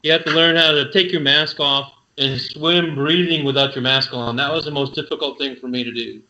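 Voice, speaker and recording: A man's voice: on the high side, fairly even intonation, brisk, bright, forceful tone, muffled recording, slight background noise. A man in a casual live-stream chat, plainly explaining practical know-how.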